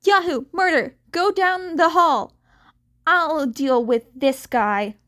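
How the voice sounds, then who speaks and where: A woman voicing a brisk, commanding, impatient female hero with a blunt, bossy manner in an audiobook. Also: sits high, a bit bright, voice pushed up. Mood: urgent, commanding. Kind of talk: fan fiction audiobook character acting.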